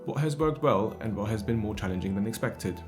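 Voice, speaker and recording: Low-voiced man introducing guests over guitar. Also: animated, fairly quick. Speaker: podcast host. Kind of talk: scripted introduction. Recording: music behind the voice.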